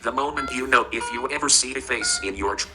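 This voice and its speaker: A man's voice: mid-range voice, brisk. Male horror narrator with music behind. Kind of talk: scripted horror warning narration. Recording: music over the voice.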